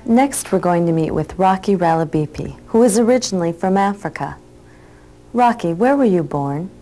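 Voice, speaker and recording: A woman presenting a lesson, asking interview questions in a clear, measured way. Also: fairly low pitch, lively intonation, warm tone, soft background music, poor audio. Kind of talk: scripted educational interview.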